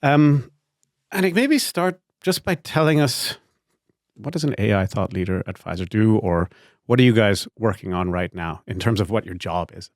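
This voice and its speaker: A man's voice: on the low side, expressive, normal speed, no echo, studio quality. A man hosting an interview show, asking curious, conversational questions with hesitant restarts. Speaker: podcast host.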